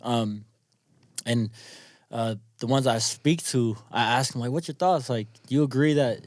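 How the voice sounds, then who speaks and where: A young man in casual podcast talk, loose and slangy. His voice sits in a middle pitch and sounds close and dry, with no room around it. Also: measured pace, a little mellow, no room sound. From born in New Zealand, grew up in California. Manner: loose, slangy, says "bro" and "man" a lot. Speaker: podcast guest. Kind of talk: casual chat.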